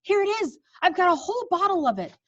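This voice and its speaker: A woman voicing a small, excitable storybook boy in mouse form, exclaiming eagerly. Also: very high-pitched, quick pace, muffled recording, voice pushed up, exaggerated voice. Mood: triumphant.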